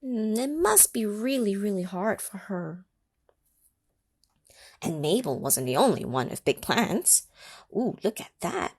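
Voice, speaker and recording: Breathy woman with wildly lively pitch. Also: a little slow, pauses often, dry recording, clean studio sound. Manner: animated, asks the listening children questions; drops into whispers for effect. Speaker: children's story reader. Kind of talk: read-aloud storytime for children.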